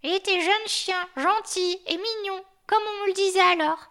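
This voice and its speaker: A woman voicing an old, grumpy male yard dog who lectures like a know-it-all, in a high yappy voice. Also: fairly even intonation, moderate pace, pushed and bright, heavily acted. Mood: reminiscing.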